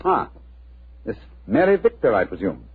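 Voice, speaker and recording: An actor playing an incisive, imperious British detective, clipped and wry, in a 1940s radio drama. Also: male voice, on the low side, wildly swooping pitch, normal speed, background noise. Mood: courteous. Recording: tinny, lo-fi 1940s radio recording.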